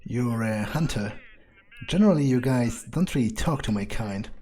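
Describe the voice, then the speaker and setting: A man doing in-character roleplay commentary over a video game, thinking aloud in a sardonic, amused way with halting phrasing. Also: medium pitch, animated, mellow. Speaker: gamer playing in character.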